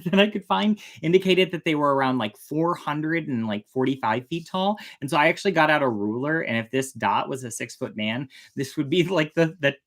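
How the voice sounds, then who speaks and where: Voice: mid-range voice, fairly bright tone. A storytime host chatting casually about a story, with playful, irreverent and flippant asides.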